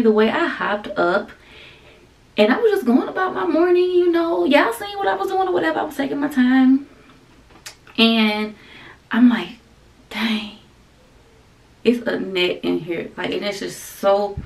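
Chatty woman with gravelly fry. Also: mid-range voice. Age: 30s. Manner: chatty, addresses viewers as y'all, frustrated rambling. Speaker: vlogger. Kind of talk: casual chat to camera. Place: bedroom at home, indoors.

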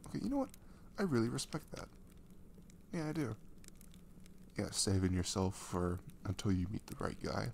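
A man playing an affectionate, dorky young boyfriend in an ASMR roleplay, speaking softly and breathily, often near a whisper, in a halting, tender way. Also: on the low side, sing-song, vocal fry, a little hiss or hum. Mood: sincere, reassuring.

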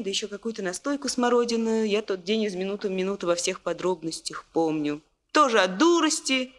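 A woman's voice: medium pitch, fairly bright tone, lightly acted. Casting: actress for soothing, plain-spoken young country woman, mid-range voice, wistful confidante in a Soviet radio play. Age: 20s.

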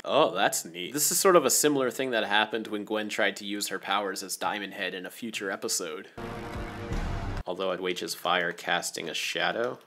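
A man's voice: fairly low pitch, normal speed, music in the background. A young adult man giving informal, slangy commentary as the host of an episode reaction show, recorded in a small home room.

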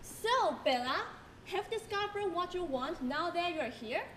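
Bright, very high young woman on stage. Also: lively intonation, a little slow, a little hiss or hum. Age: young adult. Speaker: student actor playing Vicky and narrator. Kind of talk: school stage skit in English. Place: large indoor stage.

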